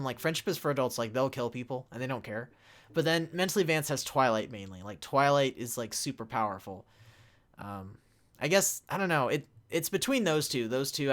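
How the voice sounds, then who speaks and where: A young man chatting casually and off the cuff as a panelist, haltingly reading out chat questions and answering them. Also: mid-range voice, fairly bright tone.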